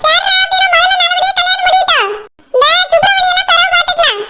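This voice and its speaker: Casting: cocky, simple male cartoon sidekick, extremely high pitched-up squeak, rapid chatter, noisy track. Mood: cautioning.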